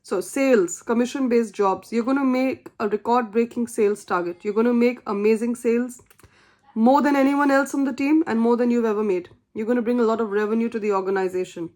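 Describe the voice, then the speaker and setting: A woman's voice: fairly high pitch, brisk, darkish tone. A woman whose first language is not English, giving an earnest, encouraging tarot reading and repeating key phrases for emphasis. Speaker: tarot reader.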